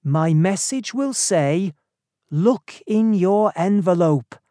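Narrator voicing a worried, earnest man, pitched up. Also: animated, slow, mellow, no echo, clean studio sound. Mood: earnest, resolved. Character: worried, earnest Englishman afraid of a curse.

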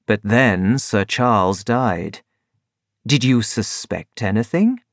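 A reader voicing a composed male detective who explains and gives orders in measured tones. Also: on the low side, dry recording, clean studio sound. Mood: calm. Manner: calm, measured, authoritative. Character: calm, commanding male detective.

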